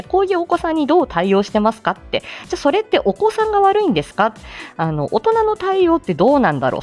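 Female livestream host, very animated intonation, chatty and candid, laughs easily, music playing behind her. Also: medium pitch. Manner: laughs often while talking. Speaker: host of a live audio show, speech therapist. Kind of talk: casual chatty solo livestream talk.

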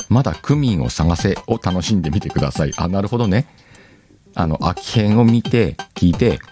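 A man hosting a casual show, rambling as he chats and reads listener letters, with music behind him. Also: deep voice. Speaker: host.

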